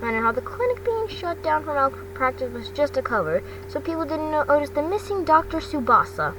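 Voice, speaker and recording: A woman with a fairly high voice reads a scripted story aloud. She reads haltingly and trips over words. The mic is some distance away and there is clear noise in the recording. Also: sing-song. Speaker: amateur fan fiction reader.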